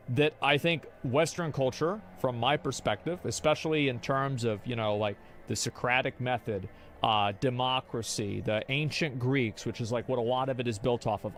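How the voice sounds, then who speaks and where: A man's voice: mid-range voice, fairly bright tone. A young man streaming, rambling and arguing his views in casual talk. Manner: rambling, argumentative, restarts often. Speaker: streamer reacting to chat.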